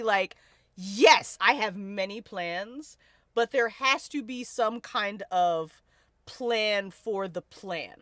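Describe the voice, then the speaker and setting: A woman leads a casual panel discussion in a bright, forward voice. Her pitch swings widely as she makes slangy, emphatic points. The sound is dry and close, with no room around it. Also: dry recording. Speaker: host leading a fan discussion panel. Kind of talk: casual panel chat about a fiction podcast.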